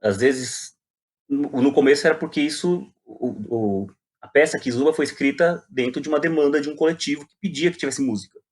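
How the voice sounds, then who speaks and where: A man's voice: fairly high pitch, brisk. Brazilian man, reflective guest in conversation. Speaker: playwright and poet guest. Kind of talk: casual reflective interview talk.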